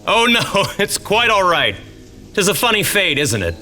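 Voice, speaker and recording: A smug bandit boss, bright and cutting, with lively swings of pitch. He is theatrically polite and full of menace, in a fantasy audio drama with faint music. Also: moderate pace, heavily acted. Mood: mocking menace. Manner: mocking, theatrically courteous, chuckling.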